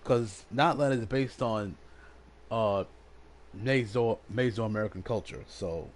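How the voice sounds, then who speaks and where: A man streaming a video game and casually explaining the story, with halting speech and many fillers. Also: fairly low pitch, slight background noise. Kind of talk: casual gameplay commentary. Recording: stream with loud game music behind.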